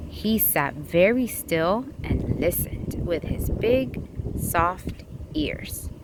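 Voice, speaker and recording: A woman reads a children's storybook aloud at a slow, patient pace. Her pitch swings widely as she plays up the story for a young listener. There is light noise behind her in the recording. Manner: expressive, asking the child questions. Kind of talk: picture book read-aloud.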